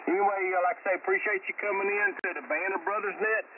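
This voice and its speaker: A man's voice: high-pitched, fairly even intonation, fast talker, over a noisy crowd. A man running a ham radio net, chatting in a folksy way over shortwave. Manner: folksy, repeats "brother" and "there". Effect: heard over shortwave radio. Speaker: amateur radio net controller. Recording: tinny narrow radio band, loud static, distant.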